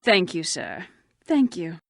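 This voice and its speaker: A woman's voice: lowish voice, huge pitch swings, slow pace, gravelly, no room sound, exaggerated voice. A woman voicing a theatrical fantasy schemer in a video game, with a dramatic delivery. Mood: overjoyed. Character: theatrical, coquettish female fantasy schemer and thief.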